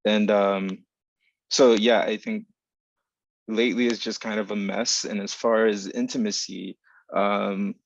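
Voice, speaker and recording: A man speaking reflectively as a writer on a panel, deliberate and halting as he searches for precise words. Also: on the low side, flat delivery, vocal fry. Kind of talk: reflective panel talk about craft. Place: remote video call. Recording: dull video-call audio.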